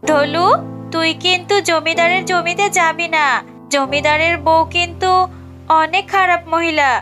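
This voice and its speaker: Cartoon fox mother played by a woman, very high voice, devoted, anxious, motherly, over music. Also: fairly even intonation, a little slow, fairly bright tone. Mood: motherly caution. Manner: pleading, fretful, motherly.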